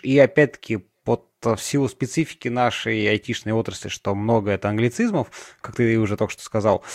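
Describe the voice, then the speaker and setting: A man's voice: mid-range voice, darkish tone, no echo, studio quality. A man hosting a panel chat, asking long, searching questions full of fillers. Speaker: podcast host. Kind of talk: moderating a panel discussion.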